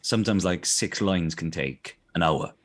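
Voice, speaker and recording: Low-voiced South Welsh man chatting. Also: animated, normal speed, fairly bright tone. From South Wales. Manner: chatty, hesitant restarts, often asks "do you know what I mean". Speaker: voice actor guest. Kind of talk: casual interview chat.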